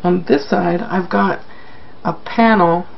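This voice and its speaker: A man with a croaky, sick-sounding voice giving a casual, rambling, self-deprecating video update to viewers. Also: high voice, fairly even intonation, slow pace, muffled recording, slight background noise. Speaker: vlogger.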